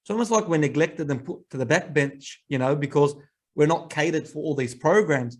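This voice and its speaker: An Australian man hosting a podcast, talking earnestly and warmly praising his guest in long, flowing sentences. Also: fairly high pitch, moderate pace. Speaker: podcast host. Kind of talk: casual conversational interview.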